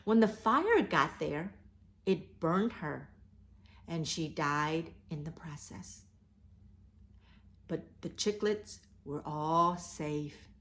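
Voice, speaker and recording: A woman reading and talking to children as a storyteller, with deliberate, emphatic phrasing. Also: sits low, animated, a bit bright. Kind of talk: children's read-aloud storytelling. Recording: echoey, roomy sound.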